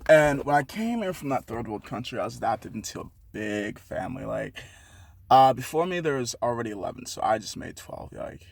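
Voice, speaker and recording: A man's voice: mid-range voice, sing-song, brisk, gravelly, slightly rough. A young man from Haiti talking casually to his viewers in a rambling, profane, self-mocking way, with many restarts and detours. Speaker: vlogger. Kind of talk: casual rambling monologue to camera.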